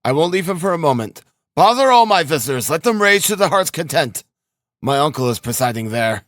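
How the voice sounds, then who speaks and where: A male narrator acts out an excitable, loyal young man in an audiobook. The voice jumps well above his normal pitch and pushes out emphatic exclamations. The sound is dry and close. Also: fairly quick, dry recording, natural voice. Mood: fervent, excited. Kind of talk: audiobook character acting.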